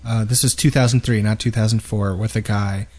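A man's voice: on the low side, fairly even intonation, fairly quick, dark tone, breathy edge. A man co-hosting a gaming podcast, talking casually in a wry, playful way. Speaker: podcast co-host. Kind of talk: casual joking chat.

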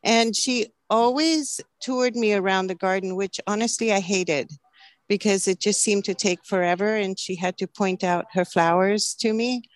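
A woman's voice: mid-range voice, normal speed, dry recording. Chatty woman from Chile, dry sound. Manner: chatty, laughs often, storytelling. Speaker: flower farmer, guest. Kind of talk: casual interview.

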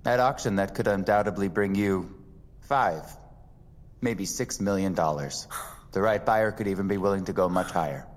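An actor playing a shrewd antiques appraiser in an audio drama, dry, professional and deliberate. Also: male voice, sits low, long reverb tail, natural voice. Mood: measured intrigue. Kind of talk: dramatic audio fiction acting.

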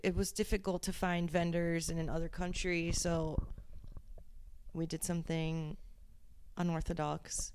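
Young woman with a lowish voice. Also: vocal fry, clean tone. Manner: relaxed, adds short comments and corrections. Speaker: podcast co-host. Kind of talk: casual chat.

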